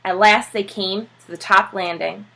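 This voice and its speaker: A woman reading a children's storybook aloud with expressive narration, like a teacher reading to pupils. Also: mid-range voice, normal speed, creaky.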